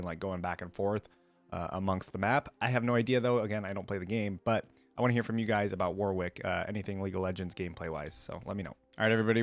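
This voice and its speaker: Male reaction host, lowish pitch, conversational off-the-cuff commentary, thinks aloud, very dry recording. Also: dry recording.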